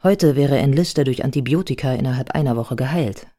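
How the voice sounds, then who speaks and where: A man's voice: mid-range voice, fairly quick, warm tone. A man narrating scripted biography in a radio play in a matter-of-fact manner.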